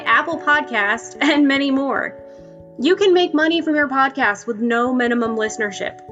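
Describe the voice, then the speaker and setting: A woman's voice: on the high side, bright, forceful tone. A woman podcast host delivering a scripted advertisement. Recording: faint music behind. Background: faint music.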